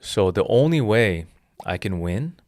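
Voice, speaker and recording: A man's voice: fairly low pitch, sing-song, unhurried, a little mellow, no room sound, clean studio sound. A man in his thirties, a jiu-jitsu instructor, explaining his philosophy earnestly in a casual interview with frequent restarts. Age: 30s.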